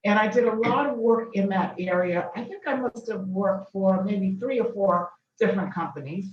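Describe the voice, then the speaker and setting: An older woman from Boston being interviewed about her career, speaking thoughtfully with many pauses and restarts. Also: mid-range voice. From Boston, Massachusetts. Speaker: guest, business founder. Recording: distant, dull, echoey room with faint noise.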